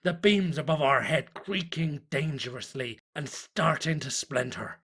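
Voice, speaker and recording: A man's voice: a little high, a bit bright, slightly rough, full character voice. Man acting a solemn, fanatical cult chronicler. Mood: grim, exultant. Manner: solemn, declamatory, deliberate. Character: fanatical cult chronicler writing a diary, reverent and zealous. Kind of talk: dramatic horror story narration.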